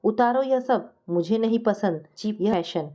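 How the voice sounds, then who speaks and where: A woman's voice: medium pitch, lively intonation, measured pace, warm tone, lightly acted. A woman narrator plays a harsh husband from a family story. He scolds his wife with sneering, commanding scorn. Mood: scornful.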